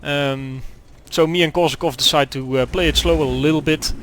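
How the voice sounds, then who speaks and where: A young Dutch man talks through a tank game replay in English, stopping often for ums and uhs and restarting his sentences. His voice sits a little high for a man, and he speaks with a Dutch accent. A faint hiss of noise runs under the recording. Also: fairly even intonation, moderate pace. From Netherlands. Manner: hesitant, many fillers and restarts. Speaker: gaming commentator. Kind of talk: gameplay commentary.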